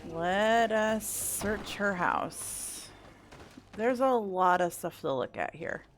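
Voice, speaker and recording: A woman's voice: medium pitch, lively intonation, slow pace, slight background noise. A woman giving casual, wry commentary as she plays a video game, thinking aloud. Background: faint game music.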